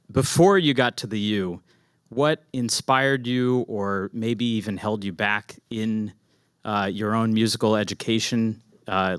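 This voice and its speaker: Man in his thirties, smooth resonant lowish voice, polite hesitant audience question, dry sound. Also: no room sound. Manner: polite, hesitant, many fillers. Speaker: audience member asking a question. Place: audience in an indoor hall. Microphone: handheld mic.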